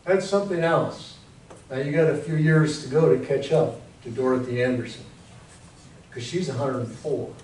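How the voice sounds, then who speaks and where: An American man in his sixties preaching a sermon as a pastor, telling it conversationally in short, deliberate phrases. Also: mid-range voice, a little mellow. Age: 60s. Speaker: Lutheran pastor. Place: church sanctuary in front of a congregation. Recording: very echoey church hall, distant mic, faint noise.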